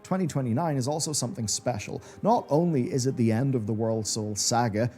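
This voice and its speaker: A man's voice: medium pitch, a little fast, warm tone, faint music behind. A man delivering scripted commentary in the style of a video essay.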